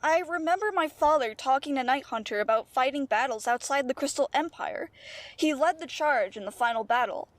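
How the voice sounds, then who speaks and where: High-voiced, earnest teenage pony princess, played by a woman. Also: animated, brisk, no echo. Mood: earnest, defensive. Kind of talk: animated-fandom audio drama acting.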